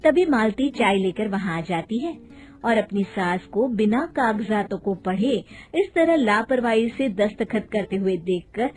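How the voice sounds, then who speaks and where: A woman's voice: medium pitch, animated, a little slow, darkish tone. A woman narrating a scripted cartoon story as its storyteller. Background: background music.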